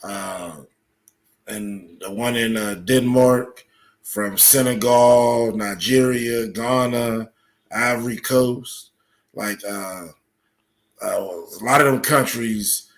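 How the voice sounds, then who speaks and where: A man speaking as a podcast guest, explaining at length in a halting, deliberate way with many filled pauses. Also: mid-range voice, steady tone. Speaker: podcast guest, soccer coach. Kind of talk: conversational interview, explaining at length.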